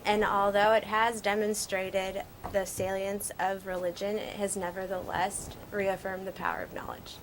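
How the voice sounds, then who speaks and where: A woman student delivering a short prepared statement in a flat, read-out way. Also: medium pitch, normal speed, hardly any pauses. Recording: noisy classroom recording.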